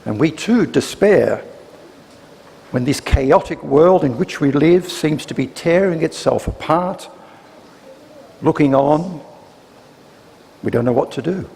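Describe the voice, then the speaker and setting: Slow, gravelly man giving a grave reflection. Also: a little high, expressive, darkish tone. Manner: grave, deliberate pauses between phrases. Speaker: church speaker giving a reflection. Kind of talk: scripted sermon reflection. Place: church, live service. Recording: reverberant church, faint noise.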